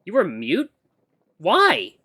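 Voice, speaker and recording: A man's voice: sits high, breathy edge, dry recording, studio quality, voice pushed up, full character voice. A man voicing a young apprentice runner who is flustered, sensitive and sweary, stammering and easily exasperated. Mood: gentle, earnest. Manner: stammering, expressive, quick to get exasperated.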